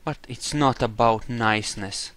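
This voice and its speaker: A man's voice: medium pitch, unhurried, fairly bright tone, dry recording. A man giving casual, wry commentary on a game playthrough, musing aloud on a close mic. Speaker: gamer playing in character.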